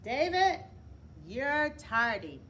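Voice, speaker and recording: A woman's voice: sits high, big pitch swings, extremely slow, a bit bright, pitched above the performer's own voice, exaggerated voice. A woman voicing a stern schoolteacher who scolds a pupil with firm, emphatic commands. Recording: clear background noise.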